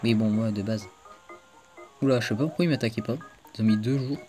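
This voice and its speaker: A man's voice: on the low side, a little fast, mellow, faint music behind. A man hosting a casual let's play video, chatting to viewers as he plays, with a stuffed-up cold. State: congested with a cold. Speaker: gaming video host.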